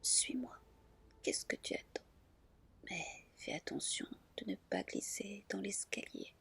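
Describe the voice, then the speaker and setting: A woman's voice: medium pitch, wildly swooping pitch, measured pace, gravelly, fairly bright tone, husky, full character voice. A woman voicing a bossy, stern female dragon creature in a whispered fairy tale, commanding and reproachful. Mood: impatient, commanding.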